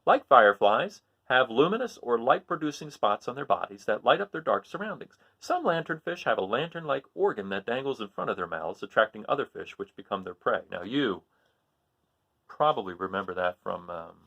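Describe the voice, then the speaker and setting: A man with a mid-pitched, very animated voice reads aloud for children. He is expressive and speaks straight to his young audience, slipping into informal asides. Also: fairly quick, vocal fry, highs cut off. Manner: expressive, talks directly to young listeners. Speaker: storybook reader.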